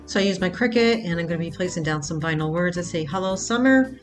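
A woman's voice: lowish voice, animated, fast, faint music behind. A woman hosting a craft channel, talking casually step by step through a project in a voice-over, with the odd filler. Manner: plain step-by-step explaining with fillers.

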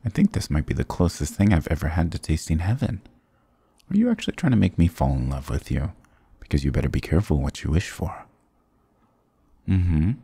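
Very deep, gravelly flirty goth guy, acted by a man. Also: sing-song, a little fast, dark tone, no room sound, heavily acted. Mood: delighted, flirty. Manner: teasing, affectionate, mock-dramatic. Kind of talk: intimate ASMR roleplay acting.

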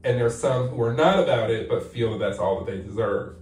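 A man's voice: mid-range voice, animated, a little fast, a little mellow, a little hiss or hum. A man in his forties, a playwright being interviewed, talking in a thoughtful, reflective way with lots of "like" fillers while sitting in a bathtub. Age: 40s. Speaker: guest playwright. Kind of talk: candid interview talk. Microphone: far camera. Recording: echoey bathroom, mic at a distance.

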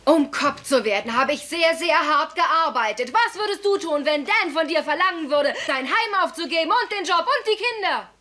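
A woman's voice: sits high, expressive, normal speed, hardly any pauses, background noise. An actress playing a stubborn, wounded policewoman in a dubbed German sitcom, speaking emphatically and sarcastically. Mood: exasperated. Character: stubborn, wounded policewoman, younger sister, defending her job.

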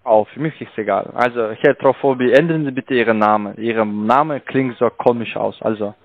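Male, foreign accent, call-centre agent, argumentative, halting speech, dry muffled phone sound. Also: medium pitch, no echo. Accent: foreign accent in German. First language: not German. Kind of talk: unscripted phone sales argument. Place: on a telephone line.

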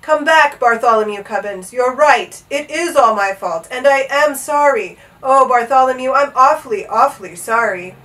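A woman's voice: fairly high pitch, measured pace, a bit bright, recorded from a distance, poor audio. A woman voicing a proud old king in a storybook, with a pompous, blustering manner. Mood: sobbing, remorseful. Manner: pompous, commanding, groaning and bellowing. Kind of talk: storybook character voice.

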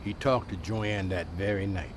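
An actor playing the storyteller of a radio drama, delivering scripted narration. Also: male voice, low-pitched, normal speed, close to an everyday voice. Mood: narrating. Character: male storyteller narrating a true-life drama.

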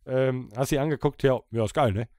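A man's voice: medium pitch, fast, a little mellow, no room sound, clean studio sound. A man bantering casually as a podcast co-host, colloquial and acting out little quotes as he explains. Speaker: podcast co-host and band musician. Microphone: close studio podcast microphone.

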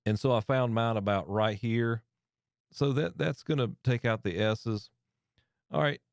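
A man's voice: medium pitch, a little slow, gravelly, dry recording. A man in his thirties explaining a mic processor in a casual hands-on way from a home studio desk, talking into a close studio mic and often restarting phrases. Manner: chatty, explains while turning knobs, restarts phrases. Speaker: audio gear tutorial host. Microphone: studio mic on arm close to face.

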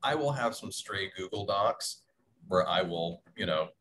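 Deep-voiced man, casual and halting. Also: expressive, a bit bright. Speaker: panelist, audio drama writer. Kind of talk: casual chat. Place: small room, indoors, on a video call. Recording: noisy video call with faint room noise.

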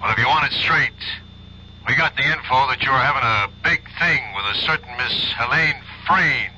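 An actor playing a loyal, apologetic police chief in a radio drama, speaking in plain, clipped phrases. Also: male voice, medium pitch, normal speed, pushed and bright. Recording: muffled, hissy radio play phone call.

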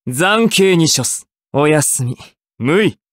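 Young anime game assassin, cool and mocking, lively swings in pitch, dry close sound. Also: male voice, takes it slowly, no echo. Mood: taunting, confident. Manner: detached, taunting, theatrical. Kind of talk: anime fighting game battle lines.

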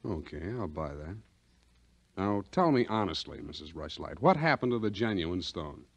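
An actor playing a wisecracking, cynical private detective, terse and sardonic, in 1940s radio drama style. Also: male voice, sits low, big pitch swings, moderate pace, vocal fry, slightly rough, no room sound, exaggerated voice. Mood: probing.

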